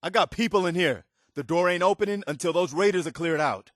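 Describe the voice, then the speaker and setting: A man's voice: sits high, a little fast, a bit bright, dry recording, clean studio sound. An actor playing a desperate militiaman defending trapped settlers in a video game, calling out with urgent strain. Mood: urgent, pleading.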